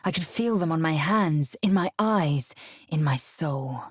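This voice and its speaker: Fierce alien warrior woman, raspy, unhurried, imperious, disdainful, clipped formal phrasing, video game character. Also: sits low, animated, no echo, studio quality, heavily acted. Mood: solemn, brooding. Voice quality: husky, raspy.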